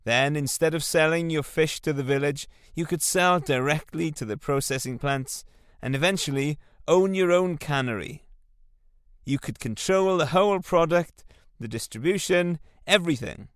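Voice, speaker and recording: A man voicing an eager, pushy businessman pitching big plans in a salesy manner, his voice raised and louder than his own. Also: moderate pace, clear, resonant tone, no echo, studio quality, close to an everyday voice.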